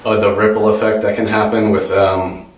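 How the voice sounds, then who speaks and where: A man's voice: fairly low pitch, flat delivery, normal speed. A young man from the United States giving an informal class presentation about his tutoring, hesitant with many ums and self-corrections. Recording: echoey room, voice from a distance.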